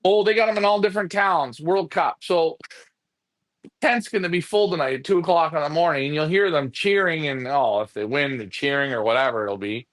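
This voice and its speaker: A man's voice: a little high, a little fast, fairly bright tone, dry recording. Rambling male pigeon racer chatting. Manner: rambling storyteller, puts on voices in quoted talk. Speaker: co-host of a pigeon racing show. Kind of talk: casual chat.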